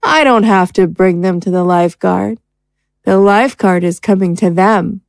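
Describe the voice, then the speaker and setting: A woman's voice: medium pitch, normal speed, lightly acted. A woman playing a spiteful, gloating, foul-mouthed turncoat in an audio drama, with a sneering, defiant manner.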